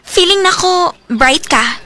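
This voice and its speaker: A woman playing a bold, impulsive young student with an expressive delivery in a radio drama. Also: very high voice, takes it slowly, full character voice. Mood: eager, playful.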